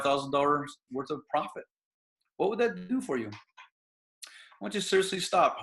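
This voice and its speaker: Fast-talking motivational webinar host. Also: male voice, a bit bright, low-quality recording. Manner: rhetorical questions, pushy upbeat pitch. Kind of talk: motivational sales pitch.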